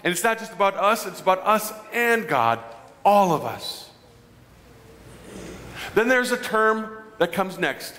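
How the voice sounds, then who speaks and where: A man in his forties preaching a conversational sermon as a pastor, animated and joking with his congregation. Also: sits high, unhurried, long pauses. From Midwestern United States. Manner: animated, gestures broadly, jokes with the congregation. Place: large church sanctuary. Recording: long church reverb.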